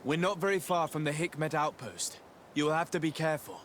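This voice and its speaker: An actor playing a resolute noble envoy in a game, composed, formal and authoritative. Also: male voice, fairly high pitch, brisk, fairly bright tone, a little hiss or hum, close to an everyday voice. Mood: reassuring, practical.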